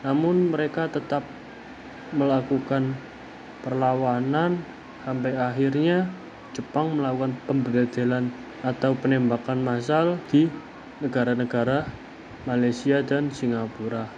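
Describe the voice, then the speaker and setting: A man's voice: mid-range voice, steady tone. Noisy muffled audio, young guy doing a student lecture, explains stuff in choppy phrases. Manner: halting, phrase-by-phrase delivery. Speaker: student presenter. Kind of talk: academic presentation, explanatory lecture. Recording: dull lo-fi audio, clear background noise.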